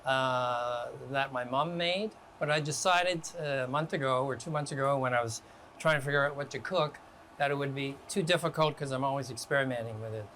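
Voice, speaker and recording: A man from Canada working as a guest chef, talking relaxed and step by step through a home cooking demonstration in a kitchen. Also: medium pitch, brisk, slight background noise.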